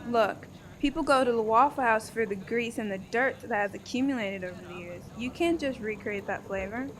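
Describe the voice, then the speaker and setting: A woman's voice: a little high, normal speed, slight background noise, lightly acted. A woman playing a blunt, sarcastic old wife who bickers in a comic radio play.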